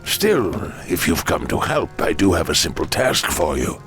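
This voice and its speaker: An actor playing an elderly orc shaman in a video game, measured and courteous in manner. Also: sits low, sing-song, a bit bright, soft background music. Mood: wise, businesslike. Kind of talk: video game cutscene acting.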